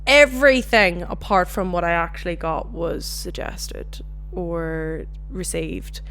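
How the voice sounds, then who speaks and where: Chatty Northern Irish woman, lowish voice. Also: takes it slowly. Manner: chatty, animated storytelling with swearing. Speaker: podcast host. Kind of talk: casual solo chat.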